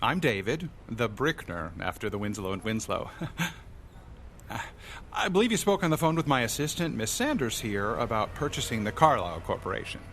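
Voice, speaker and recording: An actor playing a pompous, pushy businessman in a radio drama, wordy and theatrically smooth. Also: medium pitch, sing-song, moderate pace, a bit bright, slight background noise. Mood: ingratiating.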